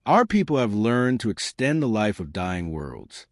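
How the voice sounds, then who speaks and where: A man voicing a conciliatory female winged alien in a sci-fi story, speaking carefully and clearly. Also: lowish voice, big pitch swings, measured pace, no echo, studio quality. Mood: measured, tentative.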